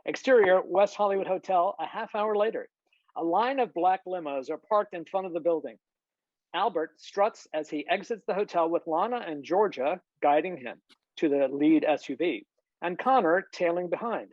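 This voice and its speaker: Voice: mid-range voice, normal speed, no echo. A narrator reading scripted stage directions at a table read over a video call. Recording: muffled, dull video-call audio.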